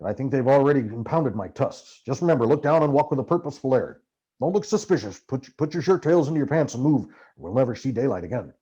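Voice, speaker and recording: Man acting a ranting, husky paranoid American journalist. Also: medium pitch, lively intonation, fast talker, warm tone, highs cut off. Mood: paranoid, commanding. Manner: urgent, barking, emphatic orders. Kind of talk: dramatized audiobook dialogue.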